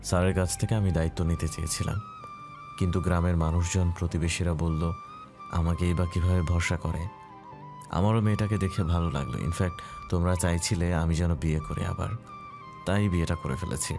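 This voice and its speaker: Male actor as dutiful eldest brother in an audio drama, low bass voice, composed and explanatory, music behind. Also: steady tone, a little fast. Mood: calm, earnest. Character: calm, dutiful head-of-family elder brother explaining his marriage. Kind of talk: Bengali audio story acting. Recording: music clearly playing behind.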